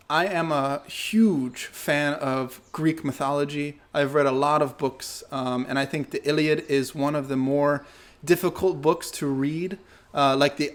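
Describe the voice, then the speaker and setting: Young man casually answering viewer questions. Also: a little high. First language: not English. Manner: relaxed, thinks aloud with fillers and self-corrections. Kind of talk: casual Q&A talk to camera.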